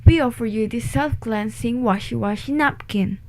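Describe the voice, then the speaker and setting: A woman playing an upbeat ad announcer, highish. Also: moderate pace, darkish tone, lightly acted. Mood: salesy. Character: upbeat female commercial announcer. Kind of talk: scripted advertising read. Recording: faint background noise.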